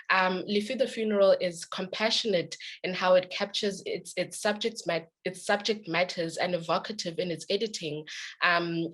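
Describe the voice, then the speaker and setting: Measured woman moderator. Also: fairly low pitch. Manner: measured, prepared phrasing with soft fillers. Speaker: festival Q&A moderator. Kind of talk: moderating a festival Q&A.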